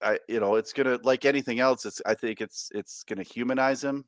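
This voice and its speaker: A man's voice: medium pitch, fairly even intonation, fairly quick, dry recording. Man chatting casually, dry close sound. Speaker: podcast co-host. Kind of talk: casual podcast chat.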